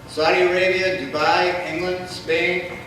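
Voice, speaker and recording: High-voiced guy reading an intro from far off the mic, really echoey hall, audible noise behind. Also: flat delivery, a little slow, sharp tone. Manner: reading a bio, light joking. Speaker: event host introducing the speaker. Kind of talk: formal introduction. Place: lecture hall.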